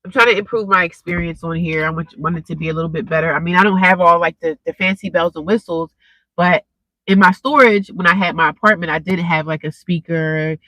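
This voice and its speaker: A woman's voice: on the low side, steady tone, fairly quick, bright, forceful tone, no room sound, poor audio. A young woman, stuffed up and congested, chatting casually with viewers on a live stream through a cheap earphone mic. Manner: rambling, chatty, talks straight to viewers.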